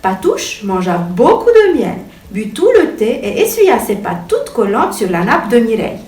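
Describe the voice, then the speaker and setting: A woman reading a children's bedtime story aloud in an expressive, theatrical storytelling manner. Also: fairly high pitch, moderate pace. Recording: echoey room, distant mic, music behind.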